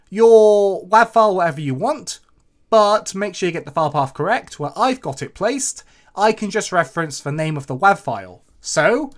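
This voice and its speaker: A woman presenting a step-by-step coding tutorial in a clear, instructional way. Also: mid-range voice.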